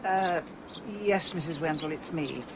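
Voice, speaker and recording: An actress playing a brusque, exasperated middle-aged English bride in a radio drama. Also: female voice, low voice, unhurried, background noise. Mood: subdued. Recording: dull radio recording, faint music behind.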